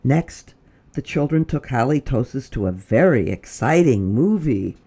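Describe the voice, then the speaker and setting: A woman reading a children's picture book aloud as a storytime reader, with expressive delivery, dramatic pauses and drops to a whisper. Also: extremely low pitch, breathy edge.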